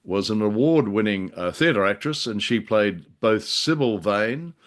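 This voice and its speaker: A man co-hosting a casual discussion, speaking in a wordy, halting and wry way. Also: on the low side, expressive. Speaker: podcast co-host.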